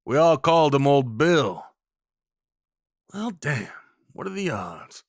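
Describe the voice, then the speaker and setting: A man's voice: on the high side, big pitch swings, slow pace, no echo, clean studio sound, pitched above the performer's own voice, exaggerated voice. A man playing a rugged, kindly cowboy of the Old West in a roleplay audio drama, with a folksy, reassuring drawl. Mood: friendly, amused.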